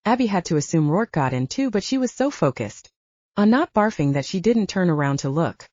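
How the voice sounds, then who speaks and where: Woman, audiobook narrator, lively intonation, dry close sound, lo-fi. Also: fairly low pitch, a little fast, no room sound. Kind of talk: scripted fiction narration.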